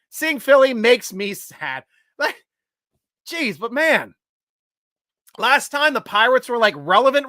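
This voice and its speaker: A man hosting a live sports stream, reading viewer comments and cracking jokes. His voice is bright and forward. He swings from loud shout-outs to low asides, and the sound is dry and close. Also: no echo. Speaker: host.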